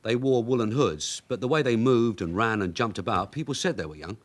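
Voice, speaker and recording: An actor playing a shrewd veteran detective sergeant with an English accent, brisk and wry, in a radio drama. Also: male voice, lowish voice, lightly acted. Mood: businesslike. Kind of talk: British radio drama acting.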